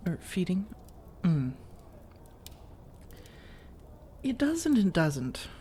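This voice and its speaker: A woman playing a flirtatious, coaxing female vampire in a soft-spoken ASMR roleplay, teasing and measured, with intimate pauses and breathy slips into whispers. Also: on the low side, sing-song, slight background noise. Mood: calm, reassuring. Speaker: audio roleplay performer.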